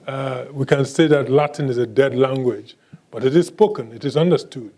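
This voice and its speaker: A male author whose first language is Igbo answers audience questions at a book talk, speaking in a measured, halting way with many fillers and restarts and a dry, self-mocking humor. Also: medium pitch, mellow.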